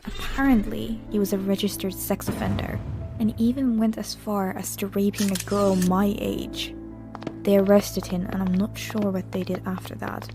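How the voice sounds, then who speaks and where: A woman's voice: mid-range voice, little pitch movement, normal speed. A woman reading a scripted scary story in the first person, as a narrator. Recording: loud background music. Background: music.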